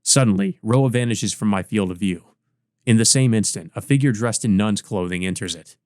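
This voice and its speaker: A man's voice: fairly low pitch, normal speed, a little mellow, dry recording, studio quality. A man doing a let's play, reading visual novel narration aloud and adding casual commentary of his own. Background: game music.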